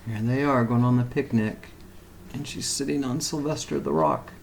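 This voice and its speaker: A man's voice: medium pitch, fairly even intonation, moderate pace, creaky, a little hiss or hum, rough recording. A man reading a picture book aloud expressively and explaining it to young children as a teacher. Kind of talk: animated children's storybook read-aloud with asides.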